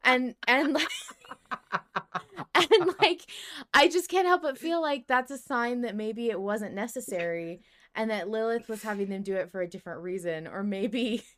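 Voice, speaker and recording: A woman's voice: a little high, measured pace, fairly bright tone. Wry woman chatting on a podcast. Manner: wry, pragmatic reasoning. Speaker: podcast co-host. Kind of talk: casual podcast discussion of a fiction show.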